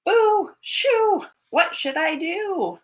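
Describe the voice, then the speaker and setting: Woman acting a flustered, high-pitched little storybook child. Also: lively intonation, very slow, heavily acted. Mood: alarmed. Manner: exclamatory, then grudgingly giving in. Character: flustered small child shooing animals off the porch. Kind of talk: animated children's picture-book read-aloud.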